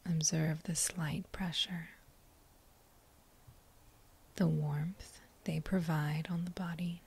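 Slow, whispering woman guiding meditation. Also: low voice, steady tone. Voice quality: breathy, whispery. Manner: gentle, soothing, unhurried with long pauses. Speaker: meditation guide. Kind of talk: guided meditation, whispered soft-spoken.

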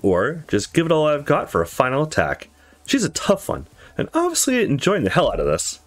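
A man's voice: a little high, wildly swooping pitch, brisk. A man giving casual let's play commentary and reading visual novel dialogue aloud. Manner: casual, frequent swearing.

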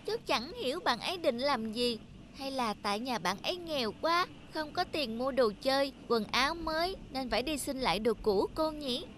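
A woman's voice: sits high, lively intonation, moderate pace, a bit bright, slight background noise. A woman voicing a spoiled rich little girl in a cartoon, who talks in an eager, chatty, childlike way. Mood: curious. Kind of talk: cartoon dubbing.

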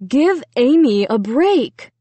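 A woman's voice: a little high, animated, moderate pace, slightly rough, dry recording. A woman voicing a stern, angry father in a storybook, scolding sharply and indignantly.

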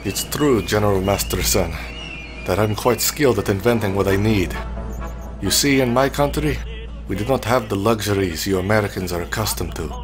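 An actor playing a proud, guarded young genius who speaks in a formal, curt and haughty way in a comic drama. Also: male voice, on the low side, moderate pace, music in the background, natural voice. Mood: cool, haughty.

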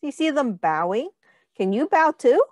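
Woman, high-pitched, animated intonation, very unhurried, instructive teacher, children's read-aloud, counting lesson. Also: no room sound, studio quality. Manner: deliberate, instructive, asking the child questions.

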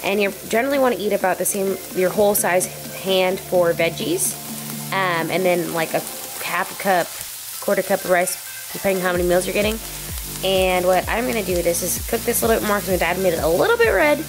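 A woman's voice: on the low side, animated, a little fast, music in the background, rough recording. A young woman in her twenties giving chatty meal-prep tips in her home kitchen, speaking into a handheld camera. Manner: bubbly, teasing, explains in a chatty way. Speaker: fitness vlogger. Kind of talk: casual vlog chat and meal-prep tips.

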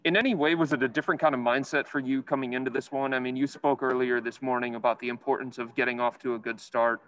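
A man working as a sports reporter, asking a player measured, detailed questions at a press conference. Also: mid-range voice, steady tone, fairly bright tone, low-quality recording.

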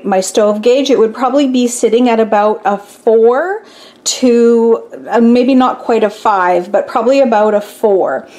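A fairly high-voiced woman talks through a cooking experiment at her kitchen stove. She sounds chatty and upbeat, thinking aloud with easy ums and pauses. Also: a little mellow. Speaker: home cooking vlogger. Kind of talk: casual cooking demonstration.

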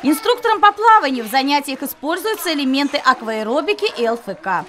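A woman narrates a news report from a script. Her voice is high and moves up and down in lively intonation as she delivers each line as a reporter. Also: a little fast, slight background noise.

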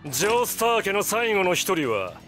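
A man voicing an arrogant, godlike vampire villain in a Japanese video game, with grandiose, drawn-out taunts and bursts of shouting. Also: high-pitched, big pitch swings, faint music behind. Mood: menacing.

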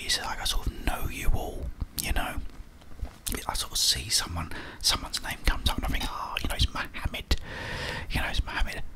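An ASMR YouTuber, whispering a rambling, friendly chat with many fillers. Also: rough recording.

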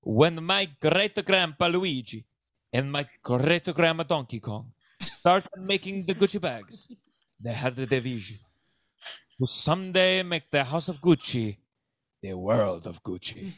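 A man's voice: a little high, expressive, a little slow, fairly bright tone, no echo. Man putting on a pompous Italian patriarch, exaggerated accent. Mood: grandiose. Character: pompous, excitable Italian family patriarch, overblown announcer of grand plans.

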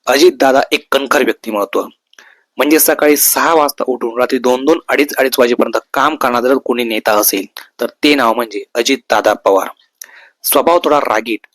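Man, mid-pitched voice, news commentary, earnest, emphatic, repeated phrases, very dry recording. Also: fairly bright tone, no room sound. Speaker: host of a news video channel. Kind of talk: semi-scripted news commentary, tribute to camera.

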